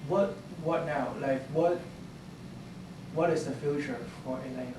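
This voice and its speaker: A man's voice: a little high, a little slow. A man interviewing a guest, rambling and restarting his questions. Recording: echoey room, far from the mic, noisy.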